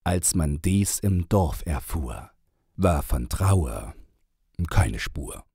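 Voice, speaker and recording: A man narrating a storybook in verse as an audiobook reader, measured and with dramatic pauses. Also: extremely low pitch, expressive, vocal fry, dark tone, slightly rough, dry recording, clean studio sound.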